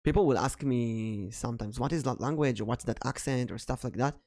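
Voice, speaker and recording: A man's voice: mid-range voice, brisk, darkish tone. Hebrew-accented man hosting a casual podcast. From Israel. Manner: storytelling, hesitant with many ums. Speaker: podcast host.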